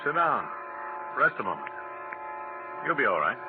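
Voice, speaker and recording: An actor playing a stubborn, obsessive research doctor in a 1940s radio drama. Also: male voice, medium pitch, very animated, normal speed, very noisy. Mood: intent, tired. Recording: tinny, narrow 1940s radio recording.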